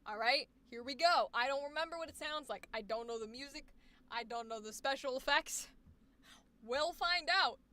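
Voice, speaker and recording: High bright lady streamer gushing over voice clips, lots of oh my gosh. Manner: effusive, exclamatory, quick asides. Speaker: streamer and voice acting coach. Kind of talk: live stream commentary on student recordings.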